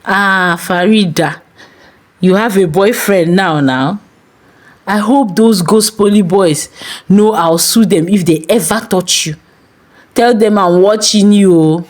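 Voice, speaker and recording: A woman's voice: medium pitch, takes it slowly, lightly acted. A woman voicing a fatherly older male pastor in a story, with a Nigerian accent and a coaxing, over-familiar manner. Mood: teasing, flattering. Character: Pastor Tochi, smooth fatherly older male pastor, quietly predatory. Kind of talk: acted dialogue in a narrated folk tale.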